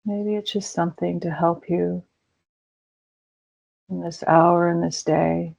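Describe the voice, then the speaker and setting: A woman leading a guided meditation in a slow, soothing way with gentle pauses. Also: on the low side, steady tone, vocal fry. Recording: dull lo-fi recording.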